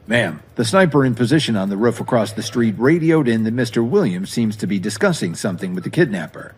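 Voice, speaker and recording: Man as a formal police officer, animated delivery. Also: medium pitch, quick pace, slightly rough. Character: dutiful police officer reporting to a superior. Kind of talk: audio drama acting.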